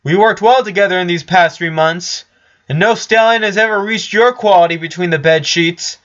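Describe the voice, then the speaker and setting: A man's voice: sits high, moderate pace, fairly bright tone, voice pushed up. Guy doing a female unicorn from a fantasy story, intense and harsh, lovesick, kinda dull lo-fi audio. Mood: shouting, pleading.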